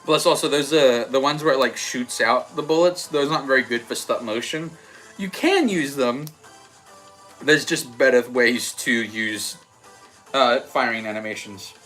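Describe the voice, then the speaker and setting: A young man with an Australian accent softened by American, chatting casually and rambling a little as he hosts a livestream and answers comments. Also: mid-range voice, a little slow. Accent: Australian with some American. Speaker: livestream host. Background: background music.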